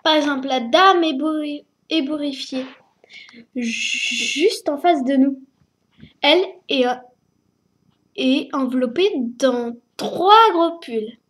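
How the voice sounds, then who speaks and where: Casting: woman storyteller, high voice, slow hesitant reading at home. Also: fairly bright tone.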